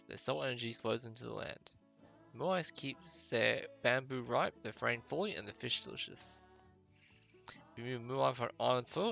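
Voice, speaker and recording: A man's voice: medium pitch, vocal fry, a bit bright. A man voicing a protective, superstitious islander in a fantasy game, speaking with solemn emphasis. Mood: stern, solemn. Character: protective, superstitious islander guarding ancestral statues. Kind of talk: amateur game dialogue voicing.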